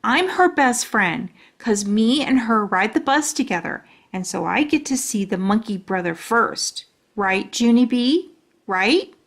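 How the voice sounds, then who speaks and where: A woman's voice: a little high, animated, unhurried. A woman voicing a bossy, hot-tempered little schoolgirl in a children's storybook reading. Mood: indignant.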